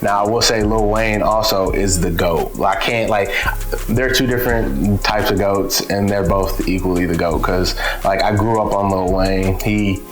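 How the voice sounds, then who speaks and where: A man's voice: lowish voice, fairly even intonation, moderate pace, music in the background. A man in his twenties from Texas, a rapper talking casually and at length about his influences in an easygoing, rambling way. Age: 20s. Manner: easygoing, rambling and self-deprecating. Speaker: rapper in a music group. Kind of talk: casual self-introduction interview.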